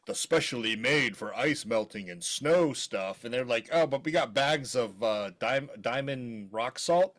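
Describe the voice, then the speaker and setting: A man's voice: mid-range voice, animated, unhurried, few pauses, fairly bright tone. A middle-aged man from Maryland co-hosting a podcast, chatting casually with jokey, rambling asides. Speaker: podcast co-host. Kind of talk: casual chat.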